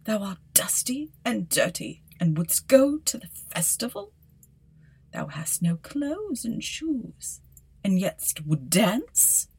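A woman's voice: medium pitch, sing-song, slow pace, vocal fry, darkish tone, breathy edge, heavily acted. A woman voicing a cold, scornful fairy-tale stepmother, haughty and scolding.

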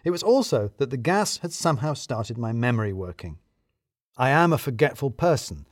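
A man narrating a scripted first-person comic story in a wry, theatrically self-pitying way with deliberate emphasis. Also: medium pitch, big pitch swings, no room sound, clean studio sound.

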